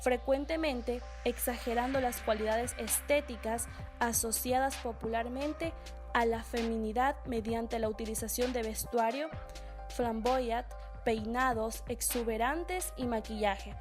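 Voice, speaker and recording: A woman's voice: a little high, measured pace. A woman reading a scripted narration as the narrator of a documentary. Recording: music under the voice. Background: music.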